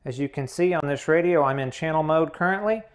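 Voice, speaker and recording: A man hosting a tutorial, giving hands-on instructional narration in a measured, methodical way. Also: a little high. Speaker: tutorial host.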